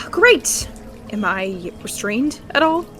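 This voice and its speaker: A woman's voice: fairly high pitch, big pitch swings, drawn-out words, fairly bright tone, faint music behind. A woman talking casually as a player in a tabletop fantasy game.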